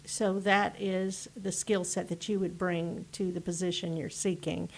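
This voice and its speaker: A woman's voice: on the low side, level tone, a little slow, a little mellow, low-quality recording. A woman interviewing a guest in a friendly, agreeable way. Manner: friendly, laughs often, agreeable. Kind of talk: casual interview.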